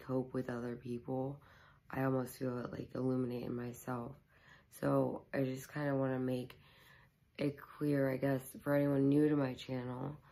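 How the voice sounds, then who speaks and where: A young woman, hoarse, chatting casually to her camera at home and rambling with many ums and likes. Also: a bass voice, a little mellow, poor audio. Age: young adult. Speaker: YouTuber. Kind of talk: casual vlog chat to camera. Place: small living room at home, indoors.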